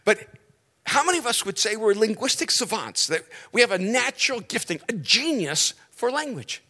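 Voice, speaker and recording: Bright, animated male pastor. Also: high voice, moderate pace. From El Salvador. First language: Spanish. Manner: animated storyteller, stretches key words, dry jokes. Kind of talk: sermon with stories and jokes.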